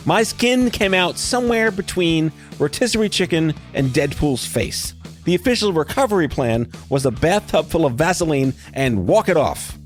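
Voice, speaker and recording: A man's voice: on the high side, expressive, moderate pace, faint music behind. A middle-aged man hosting a podcast interview, talking in a blunt, wry and casually profane way. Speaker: podcast host.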